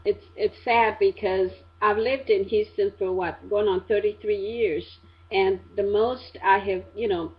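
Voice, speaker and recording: A woman's voice: mid-range voice, steady tone, unhurried. A woman from Saint Lucia hosting a radio interview, encouraging her guest as she asks questions. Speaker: radio show host. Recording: dull lo-fi radio sound.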